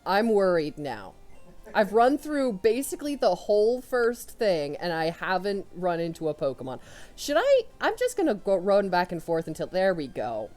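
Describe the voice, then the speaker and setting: A young woman commentating a video game run, loud and excitable, talking to her characters as she plays. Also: medium pitch, normal speed, soft background music. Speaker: let's player. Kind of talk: casual gameplay commentary.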